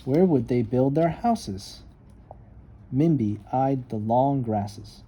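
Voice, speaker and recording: A man reading a children's story aloud with expressive, emphatic narration. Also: medium pitch, slow pace, breathy edge. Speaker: storybook reader.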